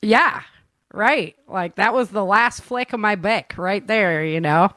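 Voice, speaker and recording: A woman hosts a relaxed chat show in an informal, slangy way. Her voice sits at a middle pitch, and the sound is very dry, with no room around it. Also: slow pace, no room sound. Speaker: show host and producer. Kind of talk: casual live chat show talk.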